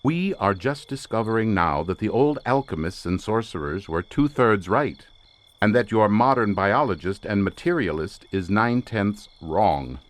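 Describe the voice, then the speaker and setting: An actor playing an obsessive, eccentric occult researcher in a radio suspense drama, lecturing in grand theatrical phrases. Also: male voice, on the low side, expressive, normal speed, close to an everyday voice. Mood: scornful, passionate. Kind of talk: old-time radio suspense drama acting.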